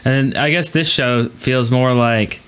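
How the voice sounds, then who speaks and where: A man's voice: mid-range voice, steady tone, slow, fairly bright tone, clear, resonant tone. A man hosting a podcast in a casual, conversational style. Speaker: podcast host.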